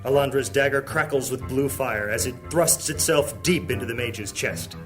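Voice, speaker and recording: A man narrating a high fantasy audio drama as a solemn, scripted storyteller. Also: normal speed, loud music behind the voice, lightly acted.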